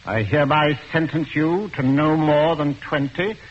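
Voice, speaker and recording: An actor playing a formal, pompous judge of a crown court, with hesitant uhs, in 1940s radio acting style. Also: male voice, on the high side, steady tone, measured pace. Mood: stern. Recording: dull old radio recording, faint hiss.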